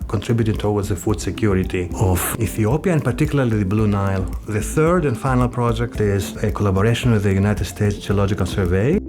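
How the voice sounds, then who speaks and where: A middle-aged man from Greece with a Greek accent, a professor explaining his research in an interview. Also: on the low side. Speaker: engineering professor. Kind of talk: explanatory interview talk about own research. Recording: music bed under the voice. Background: background music.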